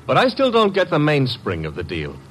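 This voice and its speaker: An actor playing a detective's breezy, wisecracking young assistant in a 1940s radio drama. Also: male voice, on the high side, huge pitch swings, fairly quick, a bit bright. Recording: noisy old radio transcription.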